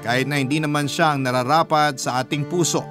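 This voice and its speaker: A man's voice: on the high side, fairly even intonation, fairly quick. A Filipino man hosting a radio love-story show, reading scripted narration in a dramatic style. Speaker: radio drama host and letter reader. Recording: background music under studio voice. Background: prominent instrumental music.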